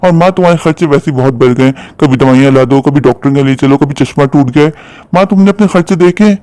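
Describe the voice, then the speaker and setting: A man's voice: a little high, fast, a little mellow, clean tone, dry recording, close to an everyday voice. A man playing a callous, irritable son in a cartoon moral story, scolding and impatient. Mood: angry, scornful. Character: callous, irritable grown son resenting his mother.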